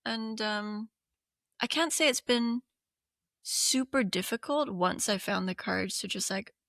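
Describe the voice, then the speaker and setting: A woman's voice: on the high side, measured pace, vocal fry, fairly bright tone, no echo, studio quality. A woman in her thirties from Hong Kong co-hosting a podcast, chatting casually with lots of fillers. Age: 30s. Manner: chatty, many fillers, laughs often. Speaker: podcast co-host. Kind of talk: casual chat.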